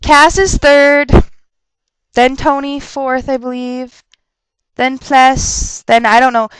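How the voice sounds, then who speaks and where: A young woman rambling casually on a webcam vlog, chatty and quick to laugh and rant, her nose stuffed up from a cold. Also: sits high, flat delivery, clear, resonant tone, no echo, rough recording. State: stuffed up with a sore throat. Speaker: vlogger answering viewer questions.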